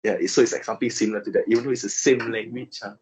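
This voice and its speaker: A man's voice: fairly low pitch, fairly even intonation, racing, darkish tone, lo-fi sound, a little hiss or hum. A young Malaysian man with a Malaysian accent, answering interview questions casually and hesitantly, with many fillers and restarts.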